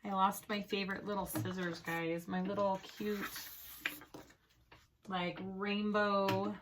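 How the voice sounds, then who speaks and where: A woman's voice: mid-range voice, level tone, slow pace, noisy background. A woman hosting a casual sewing tutorial, chatty and easygoing as she thinks aloud while working, her voice slightly strained from a recent illness. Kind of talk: casual step-by-step craft tutorial.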